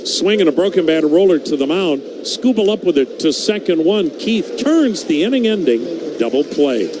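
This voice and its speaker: A man calling baseball play-by-play on the radio, conversational and steady, mixing the action with stats and stories. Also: a little high, normal speed, background noise.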